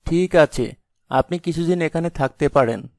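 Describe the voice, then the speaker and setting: Kind young male villager, played by a woman, mid-low pitch, earnest, dry storybook sound. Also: normal speed, darkish tone, no room sound. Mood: concerned. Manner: earnest, polite. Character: kind, cautious young village man in a folk tale.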